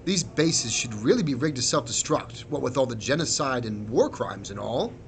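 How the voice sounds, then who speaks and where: A man's voice: medium pitch, expressive, normal speed, vocal fry, slight background noise. A man voicing a chatty, moralizing admiral in a war story, with a wry, pointed way of talking. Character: chatty, moralizing male admiral, a nut soldier.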